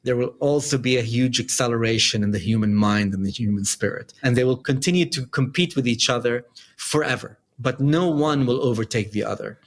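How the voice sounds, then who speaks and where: A middle-aged man from Dubai with a light Dubai accent, answering interview questions in measured, explanatory short phrases. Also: medium pitch. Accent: light Dubai English. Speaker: podcast guest, company founder.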